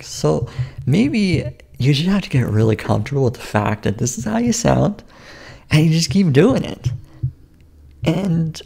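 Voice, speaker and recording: A man with a calm, low voice, giving casual, rambling advice to camera and often restarting his sentences. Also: creaky, husky. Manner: rambling and self-interrupting, with restarts. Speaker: YouTube creator giving advice.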